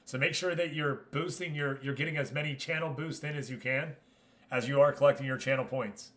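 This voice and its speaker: A man's voice: mid-range voice, a little fast, fairly bright tone. A man hosting a gaming livestream, talking casually and chummily to his viewers as he comments. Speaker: livestream host.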